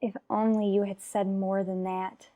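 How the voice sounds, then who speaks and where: A woman acting as an unhappy wife and mother in a radio soap opera. Her voice is soft-edged and mellow at a medium pitch. She speaks earnestly and measures out her phrases with dramatic pauses, full of anguish. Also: little pitch movement, close to an everyday voice. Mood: regretful, yearning.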